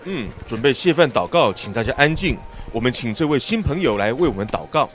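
A man's voice: medium pitch, lively intonation, brisk, faint music behind, lightly acted. An actor playing an earnest, friendly village man and disciple in a radio Bible drama, speaking in a hearty, theatrical way. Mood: hearty, inviting.

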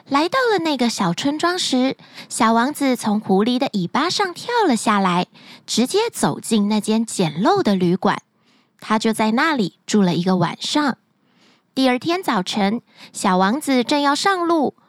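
A woman narrating a children's bedtime fairy tale in an expressive, sing-song storytelling manner, recorded close to the mic. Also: on the high side, dry recording.

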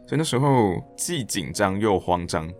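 A man's voice: fairly low pitch, normal speed, soft background music. A man with a Taiwanese Mandarin accent telling anecdotes as a guest in a casual podcast interview, acting out bits of dialogue as he goes. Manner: animated anecdotes, acting out quoted lines. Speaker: interview guest, stage actor and director.

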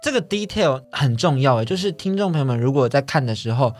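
A man with a Taiwanese Mandarin accent hosting a casual, bawdy interview, playful and candid, repeating words excitably. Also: mid-range voice, fast. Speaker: podcast and radio host.

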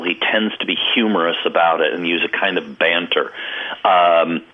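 A man co-hosting a news talk show, giving political commentary in a hesitant way with many pauses and restarts, over a phone line. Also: low voice, husky.

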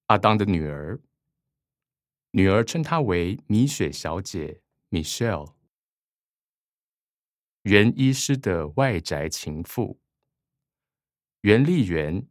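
Guy narrating a radio play, really slow, dry close sound. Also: low voice, dry recording. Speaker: narrator reading stage directions. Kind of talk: scripted radio drama narration.

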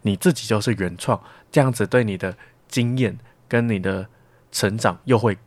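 A man's voice: fairly low pitch, normal speed, a little mellow, dry recording. A young man with a Taiwanese Mandarin accent giving casual, reflective interview answers as a student, talkative and explaining with examples. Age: young adult.

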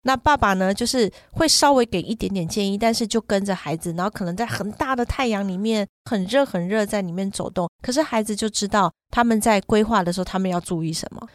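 A woman's voice: mid-range voice, quick pace, hardly any pauses, dry recording, clean studio sound. A middle-aged woman in casual podcast conversation, explaining things at length with examples. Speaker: podcast guest and parent who runs children's summer camps.

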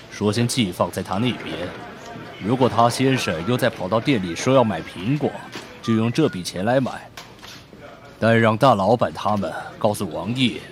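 Man playing senior cop giving case updates, audio drama, lowish voice, matter of fact, music underneath. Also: lightly acted. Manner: businesslike, plain. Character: matter-of-fact senior police detective briefing a junior.

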